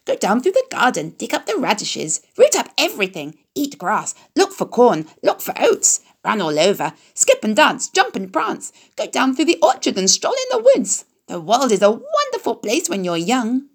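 A woman voicing a chattering female goose who talks fast and repeats her words. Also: on the high side, wildly swooping pitch, husky, pitched above the performer's own voice, heavily acted. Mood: exuberant.

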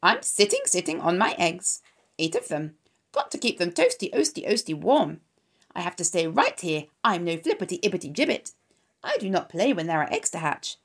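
A fussy mother goose sitting on her eggs, brisk and busy, tripping over her own words and repeating them, as a woman puts her on. Her voice has a gravelly rasp running through it. She belongs to a storybook reading. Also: on the low side, very animated, full character voice.

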